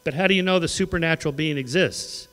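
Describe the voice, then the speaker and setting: A man in his sixties arguing his case in a debate, animated and conversational, at a podium mic in a large auditorium. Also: on the high side, fast talker. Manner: animated, conversational, gestures widely. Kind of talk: formal debate argument. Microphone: podium mic on a stand. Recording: long hall reverb.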